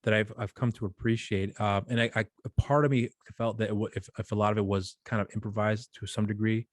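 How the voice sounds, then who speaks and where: A man's voice: on the low side, flat delivery, quick pace, no echo. A man hosts a casual interview. He asks long, wandering questions, stumbling and restarting as he goes. The sound is dry and close. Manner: rambling, stammering questions with many restarts. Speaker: podcast host.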